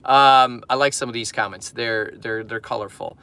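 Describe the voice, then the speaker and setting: A man's voice: medium pitch, normal speed, vocal fry, pushed and bright. A man from Poland, a fitness coach, chatting casually on a livestream while driving and reading viewer comments aloud. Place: in a car in traffic.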